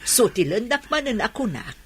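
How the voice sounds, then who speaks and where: A woman's voice: a little high, sing-song, normal speed, husky, exaggerated voice. A woman acting a shy, easily teased middle-aged single woman in an Ilocano radio drama. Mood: flustered.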